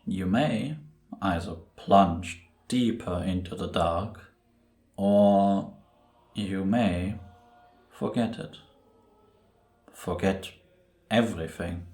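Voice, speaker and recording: A man playing a grave, brooding priest who tells a dark tale in a solemn, ominous, deliberate way for a cinematic audio drama. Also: low voice. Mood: grave, probing. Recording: roomy echo on the voice.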